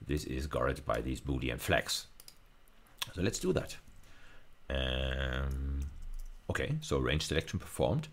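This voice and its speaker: A man's voice: very deep voice, takes it slowly, long pauses. A man giving an informal technical lecture, thinking aloud with some hesitation as he demonstrates step by step. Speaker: university lecturer.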